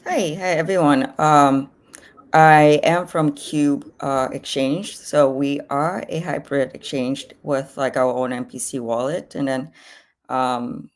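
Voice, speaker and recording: A woman's voice: sits low, fairly even intonation, takes it slowly. A woman from Vietnam, a native Vietnamese speaker, introducing her company in a casual, informal manner. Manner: casual, frequent "like". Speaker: company representative.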